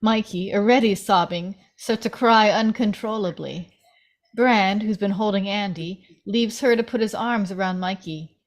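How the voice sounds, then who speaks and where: A woman's voice: mid-range voice, unhurried. A woman reads film stage directions aloud at a table read. Her voice is mid-range, delivered as scripted narration.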